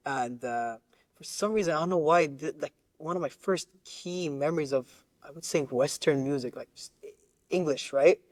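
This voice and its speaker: A male podcast guest with a fairly high-pitched voice, recorded very dry. He tells stories casually and circles around his point, repeating words and halting as he looks for the right phrase. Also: darkish tone, no echo. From Lebanon, raised in Qatar. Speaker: musician interview guest.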